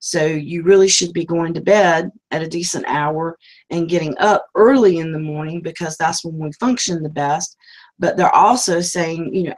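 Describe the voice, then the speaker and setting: Lowish woman hosting a live stream. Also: low-quality recording. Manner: casual, rambling, many ums. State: losing her voice. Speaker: host. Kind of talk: live-stream business talk.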